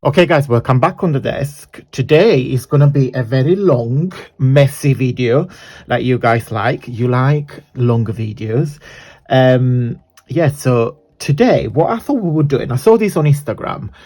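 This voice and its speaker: A man from southern Italy with an Italian accent and a croaky voice, hosting a chatty, rambling crafting tutorial. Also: medium pitch, animated, measured pace, a little mellow. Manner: rambling, chatty, self-correcting.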